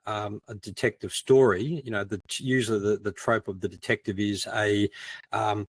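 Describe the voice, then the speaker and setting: A man's voice: fairly low pitch, fairly even intonation, moderate pace, husky, no echo. A man in a casual panel discussion, explaining things and thinking aloud with plenty of "you know". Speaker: guest, crime fiction writer.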